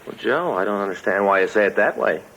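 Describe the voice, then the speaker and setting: A man's voice: fairly low pitch, lively intonation, fast, fairly bright tone. A man acting a talkative, earnest policeman with an American accent, in folksy 1950s radio drama style. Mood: casual. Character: chatty, earnest homeowner policeman, enthusiastic and easily aggrieved. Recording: muffled old radio recording, faint noise.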